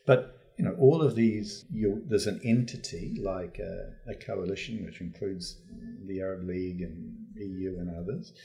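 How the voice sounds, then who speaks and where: A man with a low, dark-toned voice speaks as an interview guest. He works out his views as he goes, with halting, reworked phrasing in an unscripted discussion. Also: vocal fry.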